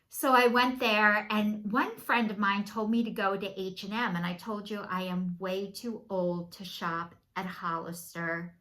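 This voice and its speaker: A woman's voice: fairly low pitch, sharp tone, rough recording. A woman, chatting candidly and self-deprecatingly to camera from her home. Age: 50s. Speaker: vlogger. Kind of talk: casual chat to camera.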